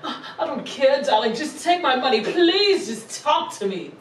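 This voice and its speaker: A woman's voice: sits high, lively intonation, unhurried. A woman playing a needy, vain socialite caller in a comedy skit, pleading and gushing with theatrical drama. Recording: echoey, distant mic, hissy background noise.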